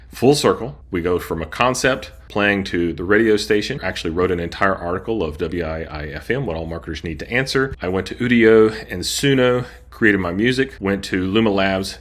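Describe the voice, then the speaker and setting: A man with a low, deep voice talks through an on-screen tutorial. He hosts informally, explaining each step in a casual, conversational way. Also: a bit bright. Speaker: host. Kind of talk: casual software tutorial narration.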